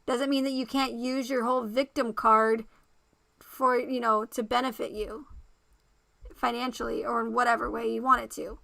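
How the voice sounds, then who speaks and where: A woman with a fairly high, bright voice hosts a relaxed livestream and talks to her viewers by name. Her voice is gravelly and going raspy, and she circles back to the same points. The sound is dry and close, with no room echo. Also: normal speed. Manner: talks straight to the chat, repeats herself. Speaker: livestream host. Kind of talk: casual livestream chat with viewers.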